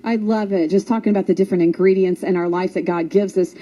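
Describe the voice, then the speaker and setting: A woman's voice: medium pitch, fairly even intonation, fast, mellow. A woman hosting a radio show in a chatty, encouraging, conversational way. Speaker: radio host.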